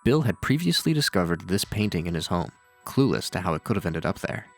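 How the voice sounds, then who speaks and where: A man's voice: deep voice, sing-song, brisk. A man narrating scripted commentary on horror with casual, dryly sardonic asides. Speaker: video narrator and reviewer. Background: faint music.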